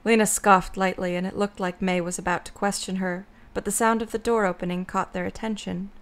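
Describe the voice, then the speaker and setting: A woman narrates a story from a script. Her voice is of middling pitch, and she reads third-person prose as an audio drama narrator. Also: level tone, brisk.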